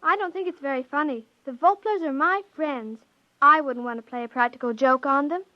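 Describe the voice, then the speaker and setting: Woman as little daughter, very high childlike voice, innocent, earnest, stubborn, 1950s radio acting, dry lo-fi recording. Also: brisk, no room sound, natural voice. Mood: earnest, reproachful. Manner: innocent, plain-spoken, childlike.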